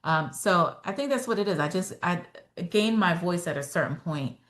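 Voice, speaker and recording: A woman real estate agent and trainer answering podcast interview questions in a steady, self-assured way, explaining step by step with fillers. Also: fairly low pitch, moderate pace. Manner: firm and self-assured about her own voice.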